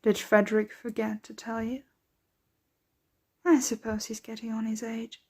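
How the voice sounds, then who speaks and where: A woman playing a centuries-old, doting, aristocratic vampire mistress in an ASMR roleplay, speaking softly, tenderly and without hurry, often slipping into whispers. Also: a little high, level tone, slightly rough. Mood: gracious, welcoming. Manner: soft, unhurried, tender, often drifting into whispers.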